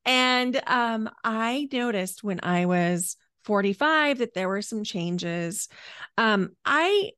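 A woman's voice: a little high, expressive, slow pace, fairly bright tone, smooth, dry recording. A 49-year-old woman speaking as a podcast guest, telling her own story conversationally and stretching key words for emphasis. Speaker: podcast guest, energy healer and coach.

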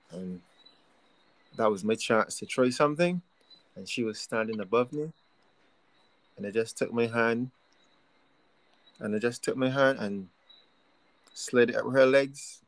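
A man from Barbados with a Barbadian accent, a guest telling stories in a relaxed, shy, soft-spoken way. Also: mid-range voice, low-quality recording. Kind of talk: casual podcast chat.

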